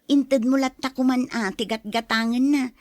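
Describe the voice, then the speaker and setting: A woman's voice: sits high, fairly even intonation, moderate pace, darkish tone, dry recording, lightly acted. A woman with an Ilocano accent playing a patient, apologetic younger sister in a family drama, speaking in a conciliatory way. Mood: pleading. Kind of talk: radio-style family drama acting.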